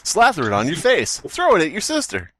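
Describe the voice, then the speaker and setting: An excitable man-performed TV toy pitchman selling to kids in a raised, animated voice. He is peppy and salesy, chanting his parody advert like a jingle. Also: a little fast, no echo, heavily acted. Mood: gleeful hard sell.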